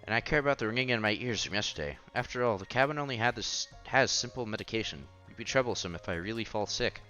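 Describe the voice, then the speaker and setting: A man's voice: lowish voice, lively intonation, quick pace, bright, forceful tone, rough recording. A man hosting a casual read-aloud playthrough of a visual novel, reading narration and dialogue with occasional stumbles and side comments. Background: background music.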